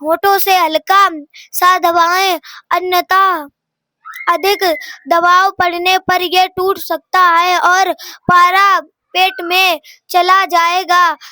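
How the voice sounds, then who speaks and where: A young schoolgirl with a very high, bright voice reads a textbook aloud. She goes slowly and haltingly, word by word, stumbling over harder terms. The sound is close and dry. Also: flat delivery, no echo. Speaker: student reading a lesson aloud.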